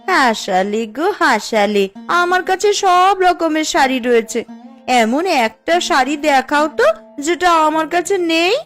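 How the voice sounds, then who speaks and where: A woman's voice: sits high, expressive, slow, pauses often, fairly bright tone, faint music behind, full character voice. A woman voicing a boastful neighbour wife in a cartoon, mocking and taunting as she shows off. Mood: gloating, mocking. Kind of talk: Bengali cartoon voice acting.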